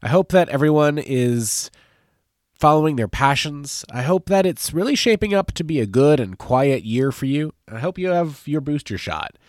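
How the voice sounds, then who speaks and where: A man's voice: on the high side, expressive, normal speed, a little mellow, dry recording, studio quality. A man with a stuffy nose from a cold, hosting a podcast in a friendly, earnest tone. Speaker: podcast host. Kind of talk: casual podcast talk.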